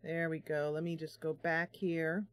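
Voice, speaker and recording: A woman's voice: sits low, flat delivery, measured pace, no room sound, studio quality. A woman hosting a live stream, chatting casually with viewers in a bubbly, talkative way. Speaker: live stream host, gamer.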